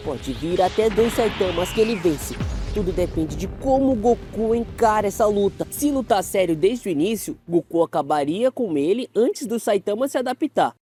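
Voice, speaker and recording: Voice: mid-range voice, expressive, moderate pace, dark tone, close to an everyday voice. An actor voicing an earnest, nerdy teenage boy anime hero in an analytical parody debate. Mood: decided. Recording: music behind the voice.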